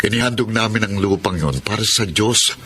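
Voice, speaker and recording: A man playing a mild, devout younger brother and father in a Tagalog radio drama, reasoning gently. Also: fairly low pitch, brisk, slight background noise. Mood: calm conviction.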